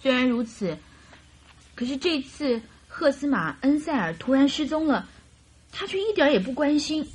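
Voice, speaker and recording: A woman's voice: sits high, sing-song, normal speed. An actress playing a cheerful, chatty young woman typist in a radio drama, girlish and emphatic. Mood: indignant. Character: plump, cheerful, chatty young female typist in her early twenties.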